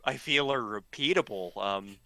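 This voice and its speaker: A man telling humorous anecdotes casually, in a rambling, halting and giggly way. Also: on the low side, bright, forceful tone, dry recording. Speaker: storyteller, regular of the show.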